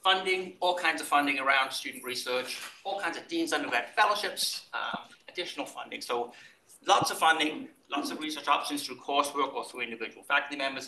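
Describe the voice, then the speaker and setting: A Welsh man with a slight Kentucky mix talks fast and encouragingly to a room of students. His voice is sharp and bright. He sounds set back from the mic, with the room echoing around him. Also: fairly high pitch. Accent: Welsh mixed with Kentucky. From Wales. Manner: brisk, upbeat, encouraging. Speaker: department chair. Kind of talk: welcome talk to students. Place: lecture room. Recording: roomy, from a distance, faint noise.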